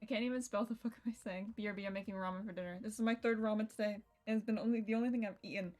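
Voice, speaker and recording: A woman's voice: medium pitch, steady tone, rapid-fire, a little mellow, soft background music. A woman of twenty-one streaming a visual novel, chatting casually with her viewers and laughing often, with some swearing. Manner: giggly, playful, swears, chats with viewers.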